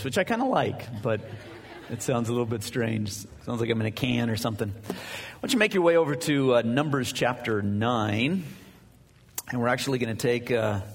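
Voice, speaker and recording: A man leading a church service, moving from prayer into Bible teaching. He talks in a casual, halting way, full of "uh"s and restarts. His boomy mic rings out with a long reverb, as if in a can. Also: breathy edge. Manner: halting, many fillers and restarts; conversational, anecdotal. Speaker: pastor.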